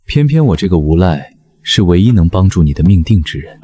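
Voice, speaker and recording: A man playing a brash, teasing young general in an animated period drama, mocking and theatrical in delivery. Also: sits low, a little fast, breathy edge, heavily acted. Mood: cocky teasing. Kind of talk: Chinese animated web drama voice acting.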